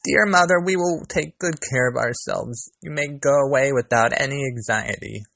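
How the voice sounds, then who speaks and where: Guy doing little goat kids from a fairy tale, way higher voice, defiant and protesting. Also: normal speed, creaky, fairly bright tone, dry recording. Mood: reassuring. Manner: crying out, protesting.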